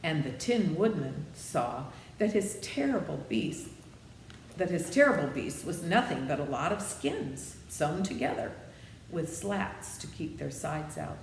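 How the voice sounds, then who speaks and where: A woman's voice: deep voice, animated, measured pace. A woman reading a children's story aloud, with chatty asides to her listeners. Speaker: storybook reader. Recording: echoey room with faint noise.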